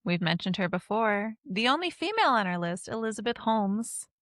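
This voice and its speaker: Woman, podcast co-host, mid-pitched, chatty and rambling, close dry mic. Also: sing-song, moderate pace, fairly bright tone, no room sound. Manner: chatty, rambling, lots of fillers. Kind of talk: casual chat.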